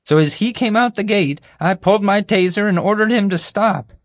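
A man voicing a bumbling, proud security guard in an audiobook, boastful, oblivious and excitable. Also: high voice, normal speed, dry recording, clean studio sound, voice pushed up. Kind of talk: audiobook character voice.

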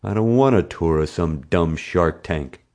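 Man doing a loud, menacing schoolboy bully. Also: deep voice, animated, unhurried. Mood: angry. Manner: blunt, demanding, threatening. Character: menacing schoolboy bully, blunt and hot-tempered.

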